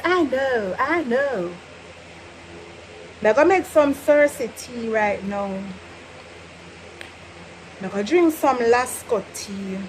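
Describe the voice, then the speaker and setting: A young woman from Jamaica with a Jamaican accent, teaching patois in an animated, laughing casual vlog. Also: on the high side, slight background noise, poor audio. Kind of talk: casual chatty lesson.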